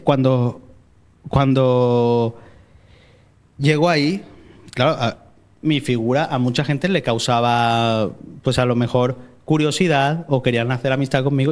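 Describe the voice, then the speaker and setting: A man's voice: medium pitch, a little mellow. Young man, Málaga accent with Mexican traces, podcast guest, chatty storyteller, frequent restarts. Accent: Málaga Spanish with Mexican traces. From born in Mexico, raised in Spain. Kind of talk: personal faith testimony in casual conversation.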